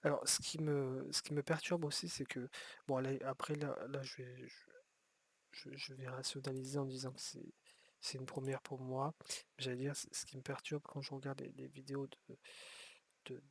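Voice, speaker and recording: Gravelly-voiced man rambling over gameplay. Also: mid-range voice, little pitch movement, moderate pace. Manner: rambling, many restarts and fillers, swears casually. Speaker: gameplay streamer. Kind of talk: casual gameplay commentary.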